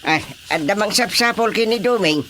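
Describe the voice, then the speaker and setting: A man's voice: sits high, moderate pace, sharp tone, slight background noise. An actor playing a fussing, good-natured elderly Filipino village father in a comedic radio drama, exclaiming and repeating himself. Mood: helpful. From Philippines.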